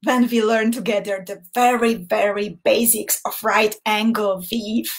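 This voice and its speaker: A woman's voice: medium pitch, little pitch movement, a little slow. A woman from Slovakia with a Hungarian accent, a native Hungarian speaker, hosting a friendly, chatty live beading tutorial and greeting viewers by name. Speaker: beading instructor.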